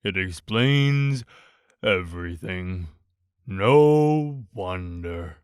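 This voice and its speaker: A man's voice: deep voice, lively intonation, darkish tone, clean tone, no room sound, studio quality, pitched below the performer's own voice, heavily acted. A man voicing a gloomy, mournful donkey in a storybook, drawing out his words in a despondent way. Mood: gloomy, resigned. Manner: drawn-out, despondent, sighing delivery. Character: gloomy, slow, mournful male old donkey.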